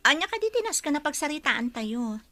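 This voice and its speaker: A sweet young daughter in love played by an actress in an audio drama. Her voice is high and bright, with very animated intonation. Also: a little fast, no room sound, heavily acted. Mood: apologetic, pleading. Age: young adult. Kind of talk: Ilocano audio drama acting.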